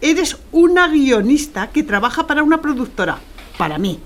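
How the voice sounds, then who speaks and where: An actress playing a brisk, bossy woman executive producer in radio theatre, speaking with cutting, emphatic authority. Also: high voice, very animated. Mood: impatient, domineering.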